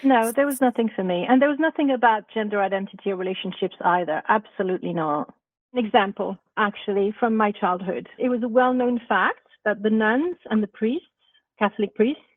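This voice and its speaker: A woman's voice: mid-range voice, no echo. Woman on a tinny phone-like line. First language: not English. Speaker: parent guest. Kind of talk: podcast discussion.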